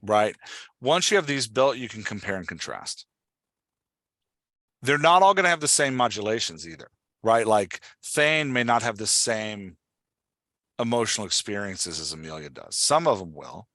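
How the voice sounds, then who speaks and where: A man's voice: lowish voice, expressive, normal speed, sharp tone, dry recording, studio quality. A man hosting and coaching in a casual conversation, explaining step by step and often checking that he is understood. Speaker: podcast host and writing coach.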